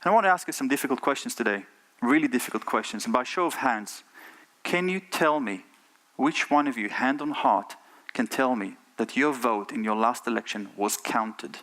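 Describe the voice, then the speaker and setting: A man's voice: mid-range voice, normal speed, fairly bright tone. A middle-aged man from Israel with an Israeli accent, giving an earnest keynote talk on a conference stage and putting rhetorical questions to the audience. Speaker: conference speaker.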